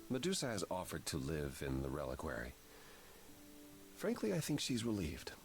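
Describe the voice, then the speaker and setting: Game role: frank, deliberate immortal male, low voice, lively inflection. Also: soft background music, exaggerated voice. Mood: grave, frank. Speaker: voice actor. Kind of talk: dramatic game dialogue.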